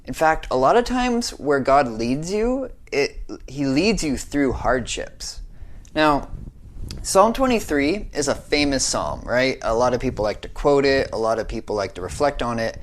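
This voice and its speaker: American man, young adult, devotional talk, earnest, conversational, mid-pitched voice. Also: big pitch swings, measured pace. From United States. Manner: earnest, conversational, often asks "right?".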